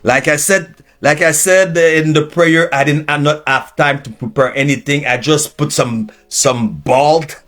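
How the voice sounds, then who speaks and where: Male preacher from Haiti, first language Haitian Creole; unhurried, drawn-out sermon delivery with a slightly higher voice. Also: fairly even intonation. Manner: unscripted, slow and drawn out, with restarts and swings in pitch and loudness. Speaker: preacher and teacher.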